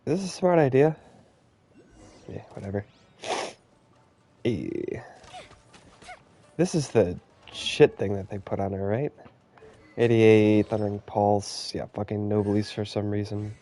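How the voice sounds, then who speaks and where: A man's voice: on the low side, unhurried, long pauses, dark tone. A man giving casual live gaming commentary, with profane, sarcastic muttered asides. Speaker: game streamer.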